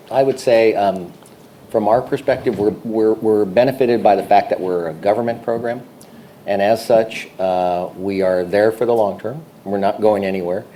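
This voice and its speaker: A man running a public savings program, answering an audience question on a panel in a measured, hesitant way. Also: fairly low pitch, dark tone, a little hiss or hum. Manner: measured, hesitant, many fillers. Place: conference room, before an audience.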